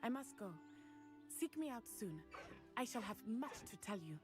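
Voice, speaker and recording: A woman's voice: fairly high pitch, very animated, normal speed, over music. A woman playing an earnest, determined young character in a scripted video game. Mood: warm, purposeful.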